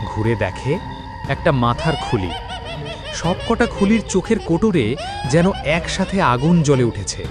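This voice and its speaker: A man's voice: on the high side, sing-song, unhurried, music in the background. A man narrating a scripted horror story with dramatic, suspenseful delivery.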